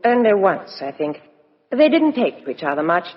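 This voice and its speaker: An actress playing a cool, outspoken, sharp-minded young Englishwoman in a 1950s radio drama. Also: mid-range voice, very animated, moderate pace, lightly acted. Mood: candid. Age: young adult. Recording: muffled vintage radio broadcast, reverberant studio.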